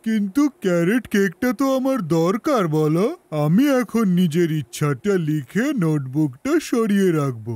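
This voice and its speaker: An actor voicing an eager, impatient child with a sweet tooth in a children's cartoon, in an excitable, expressive manner. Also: medium pitch, normal speed, close to an everyday voice. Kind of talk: children's animated cartoon dubbing.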